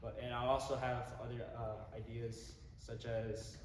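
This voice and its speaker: Teenage male, informal campaign speaker, halting and rephrasing, mid pitch, very echoey noisy room. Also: flat delivery. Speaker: youth candidate giving a campaign speech. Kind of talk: informal campaign speech to a group. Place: large echoey indoor room. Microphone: distant microphone. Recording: echoey hall from far off, background noise.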